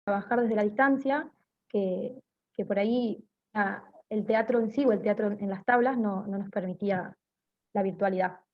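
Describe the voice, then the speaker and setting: A woman with an Argentine accent speaking hesitantly, with frequent pauses, in an informal online talk over lo-fi call audio. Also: mid-range voice. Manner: hesitant, pausing often. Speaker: theatre group member giving a talk.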